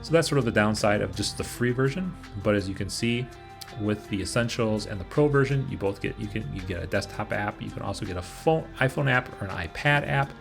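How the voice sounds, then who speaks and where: A man hosting an informal review video, explaining things to viewers in a halting way. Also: on the low side, lively intonation, creaky, faint music behind. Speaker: host of a screenwriting review channel.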